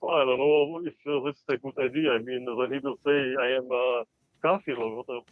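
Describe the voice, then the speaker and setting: A man with an Albanian accent calling in to a live show, speaking casually in halting, unsure phrases over band-limited call audio. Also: medium pitch, steady tone, narrow, tinny audio. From Balkans, Albanian. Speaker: caller.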